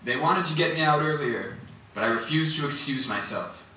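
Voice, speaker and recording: Man acting a bright, forceful former prisoner. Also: on the high side, animated, moderate pace. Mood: defiant, resolute. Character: defiant former political prisoner recounting solitary confinement. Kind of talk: theatrical monologue. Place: indoor stage, recorded from far off. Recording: very echoey, distant stage recording, faint noise.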